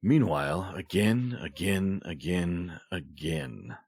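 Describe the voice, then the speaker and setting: Deep, wry gnome played by a man. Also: lively intonation, fairly quick, a little mellow, a little hiss or hum. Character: dry, wisecracking male gnome. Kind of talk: comedic audio skit.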